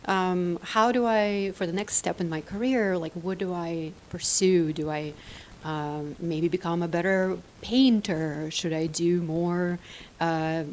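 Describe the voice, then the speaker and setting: A woman's voice: fairly low pitch, steady tone, slow, a little hiss or hum, poor audio. Reflective woman from Ukraine. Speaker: interview guest, animation filmmaker. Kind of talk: casual interview answers.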